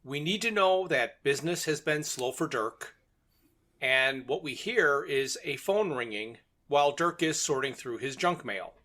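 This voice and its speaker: A man's voice: mid-range voice, expressive, measured pace, sharp tone. A man co-hosting a podcast, casually discussing and retelling a story in a conversational way. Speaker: podcast co-host.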